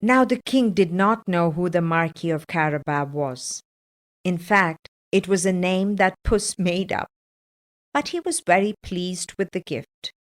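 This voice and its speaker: A woman's voice: lowish voice, measured pace. A woman narrating a children's fairytale from a script, as a storyteller.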